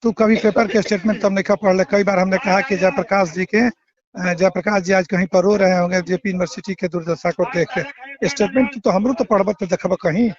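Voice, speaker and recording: A man's voice: high voice, fairly even intonation, fairly quick. A man with a Bihari Bhojpuri accent in an informal phone discussion, placating and giving advice while talking over the other person. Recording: muffled phone call with crowd chatter.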